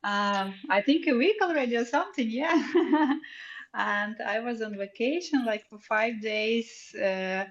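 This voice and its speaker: A woman's voice: a little high, unhurried, dull audio. A woman with a Ukrainian accent chatting casually and cheerfully as a guest in an online audio room. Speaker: guest, digital artist. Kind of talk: casual chat. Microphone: live online audio room.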